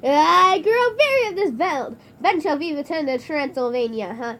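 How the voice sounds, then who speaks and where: A woman voicing a weary, sullen servant who speaks in a languid, drawn-out way. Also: sits high, expressive, fairly bright tone, a little hiss or hum.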